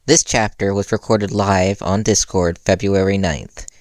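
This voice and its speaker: A man narrates a piece of fan fiction he wrote. His voice sits fairly low, and the sound is dry, with very little room to it. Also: steady tone, unhurried, dry recording. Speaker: fan fiction author reading own story. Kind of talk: narrated storytelling with character voices.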